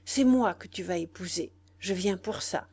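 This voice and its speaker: A woman's voice: lowish voice, normal speed, darkish tone, slightly rough, dry recording. A woman voicing a lovesick, jealous teenage boy in audiobook dialogue, desperate and insistent. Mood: tense, determined. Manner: insistent, pleading.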